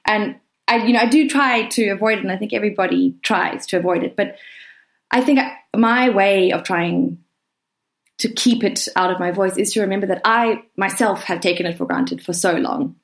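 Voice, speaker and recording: A woman's voice: mid-range voice, moderate pace. A woman with a South African accent talking earnestly and emphatically in a conversational interview, often padding her points with hedging phrases. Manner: earnest, emphatic, hedging with frequent "you know" and "kind of". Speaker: interview guest, writer. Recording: roomy, echoey sound.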